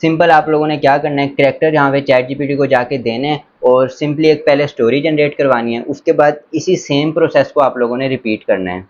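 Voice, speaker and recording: A man's voice: medium pitch, few pauses. A man presenting a casual step-by-step screen tutorial in an instructional, enthusiastic way, mixing in English words. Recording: dull lo-fi sound, faint background noise.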